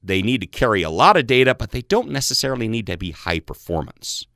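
A man with a very low bass voice, a tech guest on a radio interview show, patiently explaining with everyday analogies. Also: very animated, fast, a bit bright, dry recording, clean studio sound.

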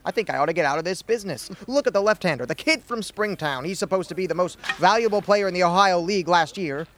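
Actor as exasperated ballclub boss, fairly high-voiced. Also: male voice, fast, fairly bright tone, slight background noise. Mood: weary, wistful. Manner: grumbling, wisecracking. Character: exasperated, world-weary American baseball team boss. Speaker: student actor. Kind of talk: radio comedy acting.

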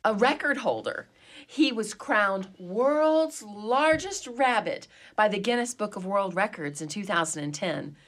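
A woman's voice: mid-range voice, lively intonation, unhurried, fairly bright tone. A woman playing a dignified, imperious wealthy mistress of an old mansion in a comedy radio play, with a grand, formal, theatrical way of talking. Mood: doting, grand.

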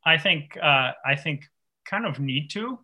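Bright-voiced man from France. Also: on the high side, animated, measured pace, breathy edge. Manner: thoughtful, many restarts and fillers. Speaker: author, interview guest. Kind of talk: radio interview, reflective conversation.

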